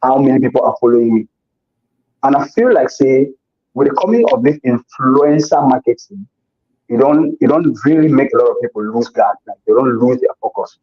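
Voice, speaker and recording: Guy being interviewed, kinda husky, repeats himself a lot, dull lo-fi sound. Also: mid-range voice, expressive, brisk. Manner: earnest, repeats himself while searching for words. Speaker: interview guest. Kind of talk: casual conversational interview. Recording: dull lo-fi recording.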